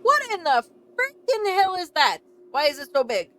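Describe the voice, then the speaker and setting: Female let's player: slightly high voice, casual and exclamatory commentary, light background noise. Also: normal speed. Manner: expressive, exclamatory, chatty. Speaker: game streamer.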